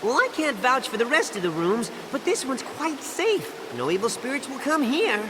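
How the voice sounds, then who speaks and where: A woman's voice: high-pitched, very animated, moderate pace, big-room sound, noisy background. A woman voicing a tiny, cheeky, boastful male elf sidekick in a video game, brash and chirpy. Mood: cocky, cheeky. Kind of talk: animated video game voice acting.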